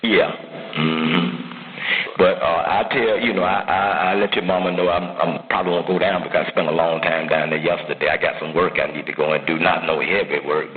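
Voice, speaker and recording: A middle-aged man talking casually on a phone call, giving avuncular advice and repeating himself for emphasis. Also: a bass voice. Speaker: uncle advising his jailed nephew. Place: phone line. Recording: muffled, noisy recorded jail phone call.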